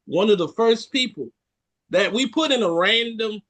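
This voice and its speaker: A man's voice: high voice, normal speed, rough recording. A man with a Jamaican accent, co-hosting a casual podcast chat, joking and acting out quotes as he tells stories. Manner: animated storyteller, joking, acts out quotes. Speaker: podcast co-host.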